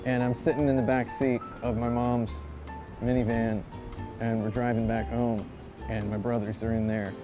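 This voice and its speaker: A man's voice: medium pitch, level tone, normal speed. Rambling self-mocking man in his thirties. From Indiana, USA. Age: 30s. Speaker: video game reviewer. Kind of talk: anecdotal storytelling in a review video. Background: music.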